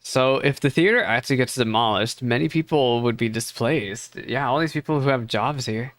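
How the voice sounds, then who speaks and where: A woman's voice: extremely low pitch, normal speed, dry recording, clean studio sound. A woman streamer giving casual, chatty, jokey live reactions to a game, often exclaiming.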